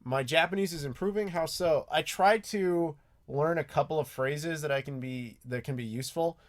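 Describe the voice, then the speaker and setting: A man's voice: on the high side, moderate pace. An American man hosting a live Q&A stream, chatting casually with lots of ums and restarts. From United States. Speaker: YouTube host answering live chat. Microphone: desk mic with clacking mechanical keyboard.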